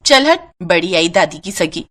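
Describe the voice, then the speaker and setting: A woman's voice: fairly low pitch, sing-song, unhurried, background noise, pitched below the performer's own voice. A woman voicing a cruel, scolding middle-aged daughter-in-law in a moral story, harsh and contemptuous. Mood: scornful.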